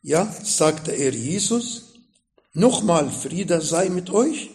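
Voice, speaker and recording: A man with an Arabic accent preaching a sermon in slow, emphatic phrases that rise sharply in pitch. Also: expressive, darkish tone, roomy sound. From Middle East. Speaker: pastor.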